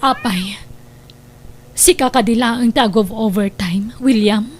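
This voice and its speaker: A woman's voice: a little high, takes it slowly, slight background noise. A woman with an Ilocano accent playing a suspicious, hurt wife in an audio drama. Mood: accusing. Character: suspicious, hurt wife questioning her straying husband.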